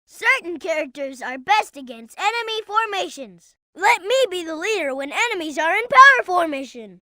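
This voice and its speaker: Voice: sing-song, normal speed, pushed and bright, no echo, clean studio sound, exaggerated voice. A performer voicing an earnest, eager little boy fox sidekick in a video game, with a childlike voice. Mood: helpful, earnest. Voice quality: high, childlike.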